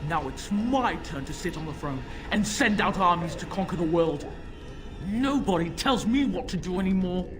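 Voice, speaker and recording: A woman's voice: on the low side, animated, moderate pace, natural voice. A woman playing a cruel possessed boy. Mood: gloating. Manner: mocking, cruel, theatrical. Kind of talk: video game voice acting. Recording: game audio with music behind.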